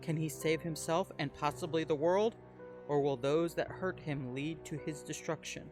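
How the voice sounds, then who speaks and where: A man's voice: on the high side, steady tone, normal speed. A man reading a scripted podcast outro as an announcer, in a promotional narration style. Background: music.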